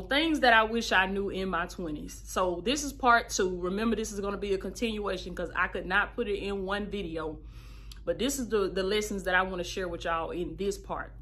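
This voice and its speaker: A woman, a podcast host giving heartfelt life advice in a preachy, animated way, repeating phrases for emphasis and calling listeners baby. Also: medium pitch. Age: 30s. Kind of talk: casual advice monologue.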